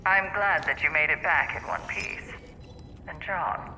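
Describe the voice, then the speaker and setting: An actress playing a reserved military scientist doctor with a precise, formal manner in a cinematic game. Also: female voice, sits low, measured pace, pushed and bright, faint music behind, full character voice, low-quality recording. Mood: measured, cool.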